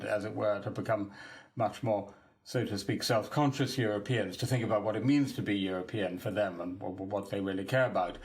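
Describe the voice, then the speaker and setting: A man from the UK, a guest on a podcast, explaining a project in a measured, articulate way with some restarts. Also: lowish voice. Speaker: guest, academic project leader.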